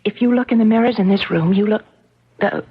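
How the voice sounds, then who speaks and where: A woman's voice: medium pitch, brisk, breathy edge, lightly acted. An actress playing a shaken, newly smitten woman in an old-time radio drama, speaking hesitantly, breathily and earnestly. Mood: apologetic, earnest. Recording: muffled vintage radio drama recording.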